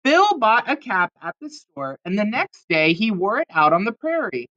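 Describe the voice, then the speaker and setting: Bright, animated woman reading a storybook. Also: mid-range voice, moderate pace, dull audio. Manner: animated, dramatic emphasis on key words. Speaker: storybook reader. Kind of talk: expressive children's storybook read-aloud.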